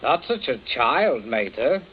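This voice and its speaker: A man's voice: mid-range voice, sing-song, a little slow, breathy edge. An actor playing a callous, flippant grown son in a 1940s radio drama, breezily mocking his family. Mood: mocking, amused. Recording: tinny, hissy 1940s radio broadcast.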